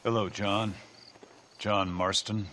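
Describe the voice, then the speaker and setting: An actor playing an enigmatic, quietly menacing gentleman stranger in a video game, deliberate and insinuating. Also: lowish voice, little pitch movement, music in the background. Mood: probing, menacing. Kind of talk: video game cutscene acting.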